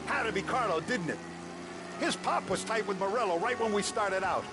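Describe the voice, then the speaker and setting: An actor playing a hot-tempered older mob boss who is gruff, profane and commanding in a game cutscene. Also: male voice, high voice, expressive, normal speed, background noise. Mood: bitter. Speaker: crime boss.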